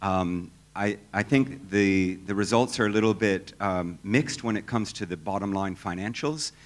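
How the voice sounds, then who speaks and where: Guy on a conference panel, kinda low voice, calm and measured, walks thru his points. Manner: measured, thoughtful, explains step by step.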